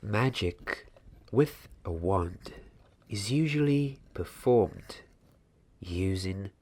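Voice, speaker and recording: A man in his twenties playing a patient, mysterious young wandmaker apprentice in a soft-spoken, deliberate ASMR roleplay. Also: fairly low pitch, animated, exaggerated voice. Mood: hushed, instructive. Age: 20s. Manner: slow, hushed, deliberate, sometimes slipping into whisper.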